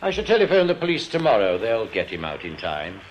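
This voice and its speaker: An actor in a radio play playing a smugly genial crooked bank manager, urbane, patronising and unruffled. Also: male voice, on the low side, huge pitch swings, a little fast, a little hiss or hum. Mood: briskly genial. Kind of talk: radio drama acting. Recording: dull, muffled radio recording.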